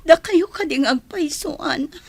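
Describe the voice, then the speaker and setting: A woman's voice: high voice, lively intonation, normal speed, creaky, no echo. A woman playing a sorrowful, remorseful prisoner with an Ilocano accent in an audio drama. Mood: tearful. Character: remorseful jailed adult woman, pleading and sorrowful. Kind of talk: Ilocano radio-style drama acting.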